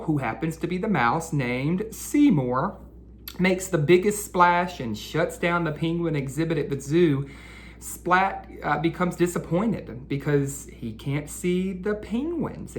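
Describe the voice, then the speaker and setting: A man hosting a read-aloud for children, speaking directly to them with questions and drawn-out emphasis. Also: fairly high pitch. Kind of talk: children's picture-book read-aloud and chat.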